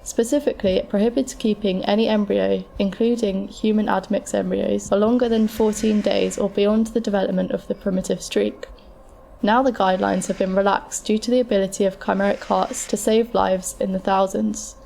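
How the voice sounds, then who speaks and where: Casting: actress as composed, frank scientist advisor, dark soft tone with gravelly fry, scripted explanatory delivery, amateur audio drama. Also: female voice, medium pitch, steady tone, slight background noise, natural voice. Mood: reassuring, frank. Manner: measured, matter-of-fact, explanatory. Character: frank, calm futuristic transplant scientist advising a patient.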